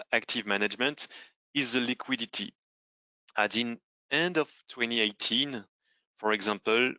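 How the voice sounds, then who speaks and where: Male fund manager, lowish bright voice, deliberate explanatory presentation delivery, emphatic. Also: no room sound, poor audio.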